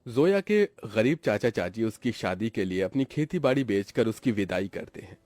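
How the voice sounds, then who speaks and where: A man's voice: fairly low pitch, very animated, normal speed, a little mellow. A man narrating a scripted story for a cartoon.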